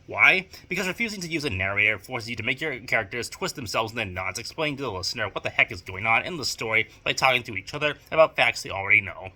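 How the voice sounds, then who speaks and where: A man's voice: medium pitch, pushed and bright. A man presenting a scripted instructional video essay, speaking in a formal, bookish and emphatic way. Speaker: video presenter giving writing advice.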